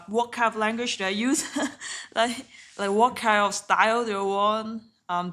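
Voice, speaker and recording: A woman's voice: mid-range voice, bright, forceful tone. A woman whose first language is Cantonese, talking casually and candidly through a live trading stream with off-hand laughs. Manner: chatty and candid, swears offhandedly, often laughs. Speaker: live-streaming day trader.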